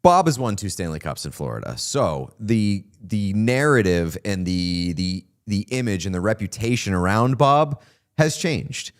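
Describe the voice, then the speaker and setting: A man's voice: on the low side, a little slow. A man co-hosting a hockey podcast, talking in an opinionated, casual way with lots of fillers. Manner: animated, opinionated, frequent "like" fillers. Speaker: podcast co-host. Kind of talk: casual sports chat.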